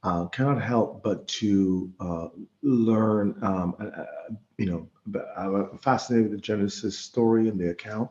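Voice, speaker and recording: A middle-aged man from the Bahamas giving an informal religious talk online, speaking haltingly and often repeating words as he goes. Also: fairly low pitch, darkish tone, lo-fi sound. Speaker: guest presenter. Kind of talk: informal religious lecture over an online meeting.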